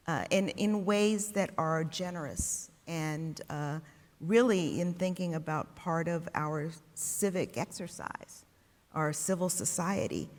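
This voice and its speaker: A woman planner on a panel, thinking aloud with fillers and rhetorical questions. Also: fairly low pitch. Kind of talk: panel discussion. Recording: long reverb of a large hall.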